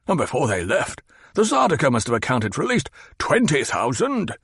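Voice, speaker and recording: A man's voice: medium pitch, wildly swooping pitch, normal speed, a bit bright, slightly rough, no echo, studio quality, pitched above the performer's own voice, exaggerated voice. A man voicing a fat, petulant, demanding baron who blusters and growls. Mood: blustering.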